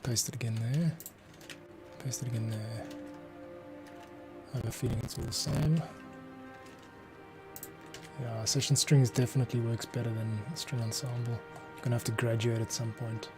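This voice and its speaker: A man's voice: medium pitch, flat delivery, moderate pace, pauses often. A man giving casual work-along commentary as a composer, muttering to himself in fragments. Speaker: composer, host. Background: music, computer keyboard clicks.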